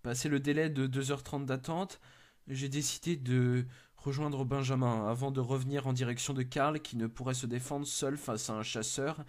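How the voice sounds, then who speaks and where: A man's voice: mid-range voice, fairly even intonation, normal speed, close to an everyday voice. A man playing an urgent, frightened member of a search party making radio reports in a horror drama. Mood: grave, reporting. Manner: urgent, whispering, breaking into screams and sobs. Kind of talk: dramatised horror story acting.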